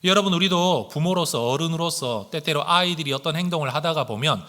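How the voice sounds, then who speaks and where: Male pastor, fairly high bright voice, earnest sermon delivery, talks straight to the congregation. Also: expressive, brisk. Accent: standard Korean, with regional intonation slipping in when speaking fast. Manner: earnest, addresses the congregation directly with rhetorical questions. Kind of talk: Sunday morning sermon.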